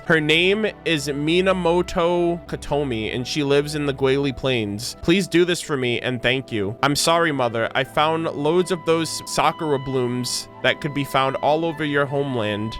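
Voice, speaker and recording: A man streaming a video game, giving informal, slangy, expressive commentary and reading game text aloud. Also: medium pitch.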